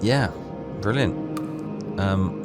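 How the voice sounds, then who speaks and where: A man's voice: on the low side, very slow. A man doing casual gameplay commentary, thinking aloud in a tentative, self-questioning way. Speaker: game player and commentator. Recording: game music behind the voice.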